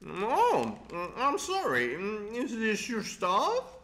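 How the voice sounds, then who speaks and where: Woman playing talking tomcat, polite, childlike curiosity, slow halting speech, very animated pitch, audio drama. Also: heavily acted. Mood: polite, apologetic. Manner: halting, with hums and wondering questions. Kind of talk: audio drama character acting.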